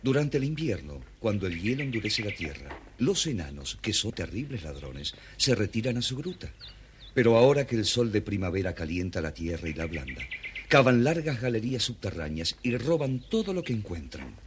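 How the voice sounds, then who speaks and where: Gentle talking bear, courteous, animated intonation, birdsong behind, faint hiss of an old record. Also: male voice, on the low side, normal speed. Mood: gentle, regretful. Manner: courteous, earnest explaining. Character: polite, gentle, grateful male bear. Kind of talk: children's audio storybook acting.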